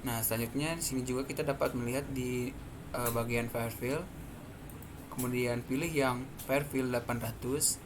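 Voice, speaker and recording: Seorang pria muda berlogat Indonesia menjelaskan demonstrasi perangkat lunak sebagai mahasiswa presenter, bicaranya tersendat-sendat. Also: male voice, medium pitch, steady tone, normal speed. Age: young adult. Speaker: student presenter. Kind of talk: explanatory software demonstration. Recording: clearly noisy background.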